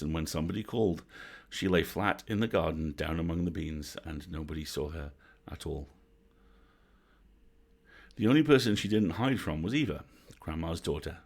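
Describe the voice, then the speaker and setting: A man narrating a bedtime story from a script as an expressive storyteller. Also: deep voice. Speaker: storyteller, host.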